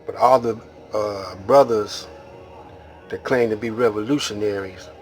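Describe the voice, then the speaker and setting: An American man hosts a casual, unscripted monologue in a low voice. He takes his time, speaking very slowly and haltingly in rambling street talk. Faint music plays behind him. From Detroit, Michigan, United States. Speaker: video host.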